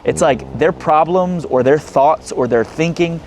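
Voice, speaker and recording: A man's voice: a little high, a little slow, a little mellow, slight background noise. A young American man, a former teacher hosting the talk, speaking earnestly and reflectively in casual slang. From United States. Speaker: podcast host, former teacher. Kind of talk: casual heartfelt conversation.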